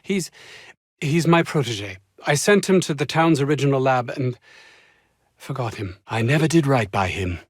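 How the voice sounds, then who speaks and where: An actor playing a haughty, anxious, downtrodden scientist in a video game, husky and speaking in formal, wordy, theatrical phrases. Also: male voice, mid-range voice, animated, normal speed, dry recording, studio quality. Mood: bitter, guilty. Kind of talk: video game character dialogue.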